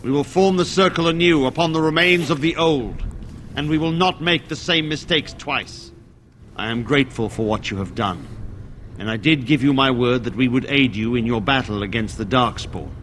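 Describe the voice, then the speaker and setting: Man acting a stern templar commander, medium pitch. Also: normal speed, fairly bright tone, a little hiss or hum, natural voice. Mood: resolute, grateful. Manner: formal, authoritative. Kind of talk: fantasy game dialogue.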